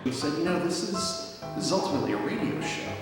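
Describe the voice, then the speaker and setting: A man's voice: a little high, very animated, quick pace. A young adult man, a radio show producer and writer, chatting casually in an interview with plenty of fillers. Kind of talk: relaxed interview talk. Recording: echoey hall with music behind.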